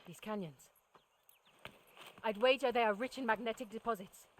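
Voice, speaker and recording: An actress playing a resolute, clever crew leader in a video game, explaining things with measured authority. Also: female voice, a little high, noisy background, natural voice. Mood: grave explaining. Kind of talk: video game cutscene acting.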